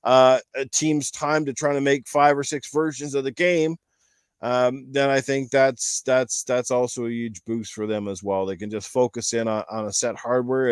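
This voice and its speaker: A man speaks as a podcast host in a casual discussion style. His medium-pitched voice rambles along and leans emphatically on its points. The sound is dry, with hardly any room to it. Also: clean tone, no room sound. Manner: rambling, emphatic, explains with analogies. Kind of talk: casual gaming talk show discussion.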